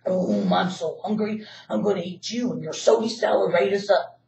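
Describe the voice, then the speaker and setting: A woman voicing a big hungry bear in a children's story, with a low menacing growl, recorded from a distance in a roomy space. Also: moderate pace, creaky, a little mellow, slight background noise, close to an everyday voice. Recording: roomy echo, distant mic.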